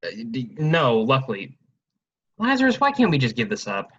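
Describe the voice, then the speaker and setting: A man's voice: medium pitch, huge pitch swings, normal speed, fairly bright tone, breathy edge, exaggerated voice. A man playing a pragmatic, loyal friend and reluctant accomplice in a staged reading with dull sound. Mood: pleading.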